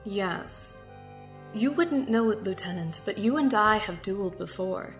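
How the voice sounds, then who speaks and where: A woman acting in an audio drama as a controlled, condescending security captain with a formal, measured manner and polite menace. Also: mid-range voice, animated, faint music behind. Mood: smug, controlled.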